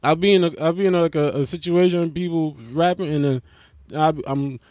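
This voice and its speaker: A man's voice: fairly high pitch, fairly even intonation, a little fast, darkish tone, dry recording, studio quality. A man hosting his own podcast, rambling in a casual, profane, joking way and restarting his intro. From Brooklyn. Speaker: podcast host.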